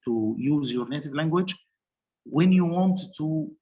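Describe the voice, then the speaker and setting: Measured man explaining teaching steps. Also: on the high side, expressive, warm tone, dull audio. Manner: measured, explanatory, with pauses and restarts. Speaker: English teacher. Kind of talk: online panel discussion.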